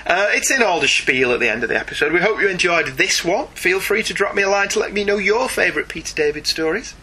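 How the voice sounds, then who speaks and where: British male podcast host, wry and mock-exasperated, piercingly bright, faint noise and clicks behind. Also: sits high, expressive, quick pace. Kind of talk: casual comic book podcast chat.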